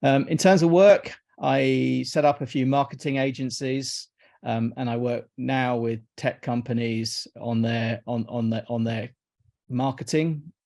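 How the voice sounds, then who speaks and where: A man's voice: mid-range voice, fairly even intonation, measured pace, vocal fry, a little mellow. A man in his fifties from Iraq, a guest in a casual interview, who talks hesitantly, often repeating words and restarting sentences. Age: 50s.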